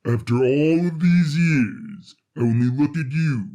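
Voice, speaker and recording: An actor playing a resurrected android and reformed murderer in an audio drama, solemn and defiant, speaking formally and deliberately. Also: male voice, a little high, warm tone. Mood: earnest, confessional. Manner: formal, slow, deliberate speech. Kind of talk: scripted audio drama acting.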